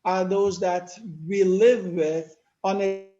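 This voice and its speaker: An older man from Turkey, speaking natively, giving a measured lecture-style talk with many pauses. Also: high voice, little pitch movement, mellow, reverberant, dull audio. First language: Turkish. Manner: measured, explanatory, with frequent "uh" pauses. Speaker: panel speaker. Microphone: video call.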